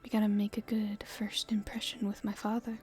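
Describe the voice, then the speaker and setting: A woman playing a playful young princess in love, speaking softly and breathily in an intimate ASMR romance roleplay, with gentle, halting delivery. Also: medium pitch, monotone, faint music behind, close to an everyday voice. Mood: soft, confiding. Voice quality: breathy, whispery, soft.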